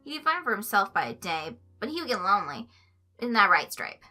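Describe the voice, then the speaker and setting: A woman voicing a calm, deadpan teenage boy who talks in a flat, matter-of-fact way in a story reading. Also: mid-range voice, brisk, soft background music. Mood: reassuring.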